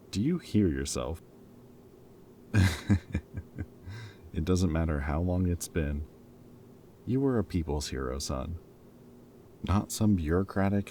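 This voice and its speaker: A man playing a protective, teasing former villain in an audio roleplay, with a wry, casual, halting delivery. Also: a bass voice, mellow, slight background noise.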